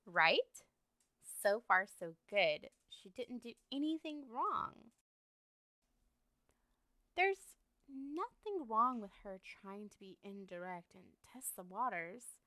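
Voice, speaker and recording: A woman's voice: medium pitch, very animated, takes it slowly, bright, forceful tone, no room sound, exaggerated voice. A woman playing a lovestruck, obsessive young woman in an ASMR roleplay, talking to the listener in a coy, rambling, hesitant way. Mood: insistent, defensive.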